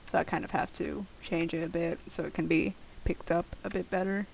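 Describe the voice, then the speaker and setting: A woman's voice: on the low side, fairly even intonation, fairly quick, creaky. Young woman gamer, rambling over noisy audio. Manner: rambling, self-deprecating, swears casually. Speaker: gaming video host. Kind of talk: casual Let's Play commentary of a survival game. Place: at home, late at night. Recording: noisy home game recording.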